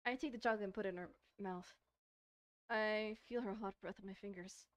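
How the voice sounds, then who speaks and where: A woman's voice: mid-range voice, steady tone, a little fast, dry recording. A woman doing casual, playful let's-play commentary on a visual novel, reading the on-screen text aloud between her own asides. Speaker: streamer playing a visual novel.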